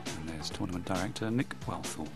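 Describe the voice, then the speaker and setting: A man's voice: sits low, brisk, vocal fry. A man hosting and commentating on a televised poker game. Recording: music under the voice. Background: music.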